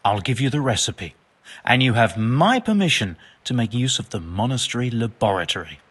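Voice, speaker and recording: An actor playing a learned, pompous but well-meaning and absent-minded monk physician in a video game, speaking in wordy, formal phrases. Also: male voice, medium pitch, very animated, normal speed, a bit bright, breathy edge. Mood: bemused, earnest. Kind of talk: video game cutscene acting.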